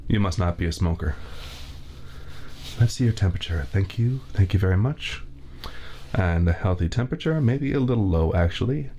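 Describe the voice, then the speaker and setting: A man's voice: fairly low pitch, darkish tone, a little hiss or hum, natural voice. A man voicing a spiteful, fussy, laid-off veteran torturer in an ASMR roleplay, with formal, theatrical diction, recorded on a binaural mic. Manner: formal, theatrical villain diction, slow and deliberate. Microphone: 3Dio binaural mic.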